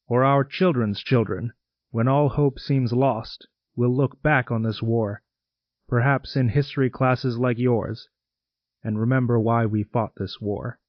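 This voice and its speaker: A man's voice: medium pitch, no echo, lightly acted. Guy acting as solemn wartime us president, mid voice, dry muffled radio address. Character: solemn, reassuring American president addressing nation at war.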